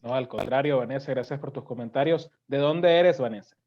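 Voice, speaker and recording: Male guest speaker, mid-pitched, polite informal delivery, slightly muffled call sound. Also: a little fast. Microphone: video call. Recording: dull video-call audio.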